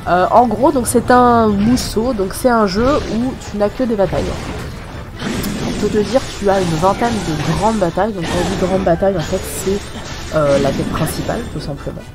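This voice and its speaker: A woman's voice: medium pitch, moderate pace, poor audio. A woman with a French accent and a cold, giving casual, rambling gameplay commentary full of hesitations. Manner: rambling, many hesitations and fillers. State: has a cold, sniffly. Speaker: gaming channel host. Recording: voice-over with faint game music.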